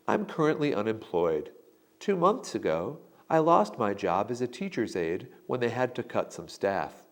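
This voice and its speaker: A man giving slow, deliberate sample answers in a scripted lesson. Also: lowish voice. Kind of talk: scripted instructional narration.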